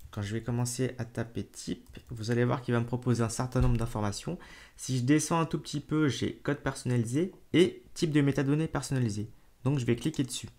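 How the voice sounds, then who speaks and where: A man hosting a screen-recorded software tutorial, talking casually to the viewer with fillers and self-corrections. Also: mid-range voice. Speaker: tutorial host.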